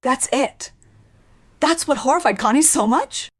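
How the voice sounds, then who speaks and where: Distraught, high-strung woman with wildly swinging pitch, acted. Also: animated, measured pace, dry recording. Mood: agitated. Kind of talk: crime radio play acting.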